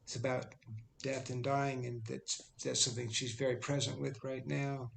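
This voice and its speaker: A man hosting an online poetry gathering, introducing a guest in a relaxed way with pauses. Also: medium pitch, creaky, slight background noise. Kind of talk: casual spoken introduction. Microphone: video call.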